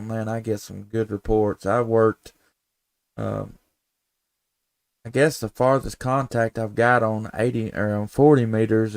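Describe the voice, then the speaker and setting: An American man chatting casually as an amateur radio host, in a folksy, easygoing way with thinking pauses. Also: fairly low pitch, vocal fry, darkish tone, clean tone, no echo. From United States. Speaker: amateur radio operator, live stream host. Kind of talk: casual radio contact chat.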